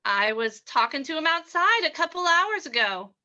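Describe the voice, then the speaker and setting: A woman's voice: sits high, sing-song, moderate pace, bright, forceful tone, dull audio, heavily acted. Woman acting a sultry, scheming radio-play femme fatale. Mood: flirtatious, coy. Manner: flirtatious, mocking. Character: sultry, scheming, ruthless female poisoner in a crime radio play. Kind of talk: old-time radio drama acting.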